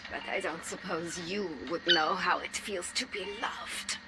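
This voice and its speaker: An actress playing a defiant, anguished young psychic held captive in a game audio drama, speaking with raw emotion. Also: female voice, on the low side, steady tone, unhurried, over loud music. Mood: guarded. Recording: loud noisy ambience behind voice.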